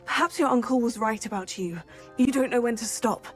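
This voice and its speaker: A woman's voice: fairly high pitch, a little fast, soft background music, natural voice. A woman voicing an earnest teenage girl student witch in a video game. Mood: guarded, firm. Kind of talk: video game dialogue acting.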